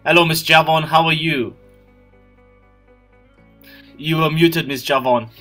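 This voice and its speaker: A man hosts an online business webinar, warmly calling out attendees one by one. His voice is bright and forceful. Faint music plays behind him on the video call. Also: fairly high pitch, fairly even intonation, measured pace. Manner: friendly, greets attendees by name. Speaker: webinar host. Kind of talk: welcoming webinar hosting.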